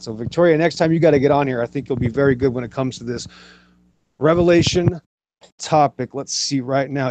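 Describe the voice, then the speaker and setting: A man's voice: mid-range voice, noisy background. A man hosting an online show, thanking viewers offhandedly, then closing with grand, rhetorical lines. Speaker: show host giving the outro. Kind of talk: casual sign-off.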